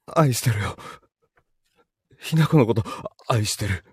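Guilty cowed boyfriend in audio drama, super husky breathy, halting and apologetic, dry sound. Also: male voice, on the low side, animated, no echo, heavily acted. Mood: pleading. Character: cowed, guilt-ridden cheating boyfriend held captive. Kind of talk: Japanese audio drama acting.

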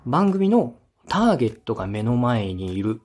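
Lively male coach with a slightly husky voice. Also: medium pitch, normal speed. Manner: polite, explanatory, step-by-step, acts out examples. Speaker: radio director and podcast host giving feedback. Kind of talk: structured coaching talk on a podcast.

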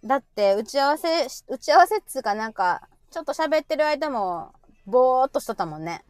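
A woman's voice: high-pitched, animated, fairly quick, dry recording. A woman with a Kansai Japanese accent hosting a live audio show with casual, teasing comic banter. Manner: teasing, chatty.

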